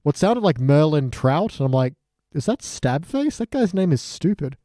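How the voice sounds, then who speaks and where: A man's voice: fairly high pitch, big pitch swings, moderate pace, warm tone, no room sound, clean studio sound. A man co-hosting a podcast in a casual, sweary chat, full of joking asides and mock quotes. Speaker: podcast co-host. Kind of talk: casual chat recapping a TV show.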